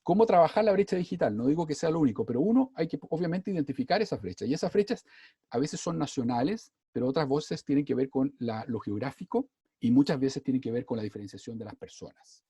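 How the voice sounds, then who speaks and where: A man with a Chilean accent, speaking quickly on a seminar panel, with many "eh" fillers. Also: medium pitch, husky, dry recording. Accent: Chilean Spanish. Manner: rapid and animated, with many "eh" fillers. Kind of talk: fast-paced seminar talk.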